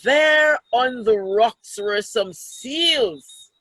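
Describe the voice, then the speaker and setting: Very slow, bright-voiced woman reading aloud. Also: a little high, lively intonation, no room sound. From Jamaica. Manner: deliberate, pausing to explain pictures and question children. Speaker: storytime reader, teacher. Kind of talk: reading a picture book aloud to children.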